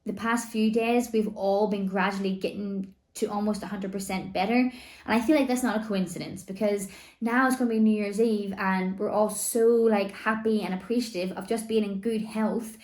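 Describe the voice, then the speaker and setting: Chatty mum in an echoey room. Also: female voice, mid-range voice, fairly even intonation, moderate pace, a little mellow. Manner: chatty, rambling, frequent "like" and "you know". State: recovering voice after laryngitis. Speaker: vlogger. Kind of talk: casual chat to camera. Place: home room.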